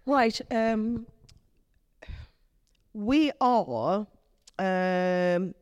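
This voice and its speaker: A middle-aged woman with a Brazilian Portuguese accent, speaking in English as a vicar. She gives an earnest, halting testimony and often restarts her phrases. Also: mid-range voice, clear, resonant tone, dry recording, dull audio. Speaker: vicar, guest. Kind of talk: reflective testimony in conversation.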